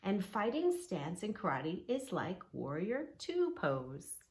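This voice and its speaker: A woman's voice: medium pitch, wildly swooping pitch, slow pace, full character voice. A woman voicing a kind, reassuring young boy, an older brother in a children's storybook, speaking in an earnest, encouraging way.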